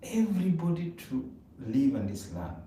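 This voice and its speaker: Man, mellow dark voice, rambling casual talk, very echoey room, mic far off. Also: on the high side, big pitch swings, normal speed. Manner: rambling, repeats words, non-native grammar. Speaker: live stream co-host. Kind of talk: casual conversation on a live stream. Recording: echoey room, distant mic, faint noise.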